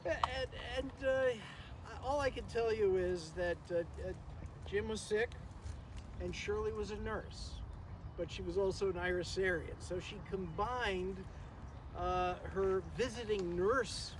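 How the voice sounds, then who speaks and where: An older man giving an informal, reminiscing memorial tribute outdoors, speaking haltingly and rambling with frequent pauses. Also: sits high. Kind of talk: informal reminiscing speech to a gathering. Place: outdoors in a garden. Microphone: distant camera microphone, no working PA. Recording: noisy outdoor recording, distant microphone.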